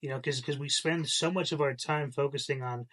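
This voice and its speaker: A man's voice: a little high, fairly even intonation, a little fast, a bit bright. A young man hosting his own channel, slightly congested from a cold, talking casually in a rambling way with many fillers and repeated words. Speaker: channel host. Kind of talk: casual commentary to camera.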